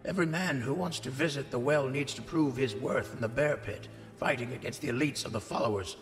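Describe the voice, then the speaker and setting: Old game chieftain voiced by a man: gravelly, proud, ceremonious, in long hall reverb. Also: medium pitch, close to an everyday voice. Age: older. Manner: solemn, ceremonious. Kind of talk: video game cutscene acting.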